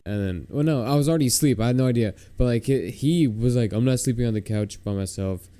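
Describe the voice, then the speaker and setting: A man's voice: mid-range voice, fast, mellow, clean tone. A young American man co-hosting a podcast in casual chat, rambling and hesitant, often putting himself down. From United States. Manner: hesitant, rambling, self-deprecating. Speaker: podcast co-host.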